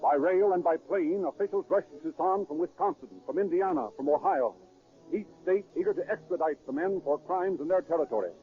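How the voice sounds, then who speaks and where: A man's voice: fairly high pitch, a little fast, phone-quality sound. An American man narrating a 1930s radio crime drama in a formal, dramatic scripted style. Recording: thin 1930s radio recording with music.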